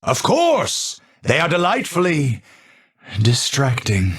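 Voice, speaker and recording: A man voicing a gentle, absent-minded old scientist in a video game, courteous and formal, with halting speech. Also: a little high, wildly swooping pitch, breathy edge, heavily acted. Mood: courteous, delighted. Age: older. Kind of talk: video game character voice lines.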